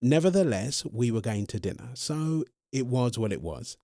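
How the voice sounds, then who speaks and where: A man acting as a smitten male vampire talks straight to his listener in an audio roleplay. His mid-pitched voice rises and falls with lots of animation. The delivery is chatty and conversational, and the sound is very dry, with no room around it. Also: expressive, moderate pace, dark tone, no echo, lightly acted. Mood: animated storytelling. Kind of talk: scripted first-person audio roleplay storytelling.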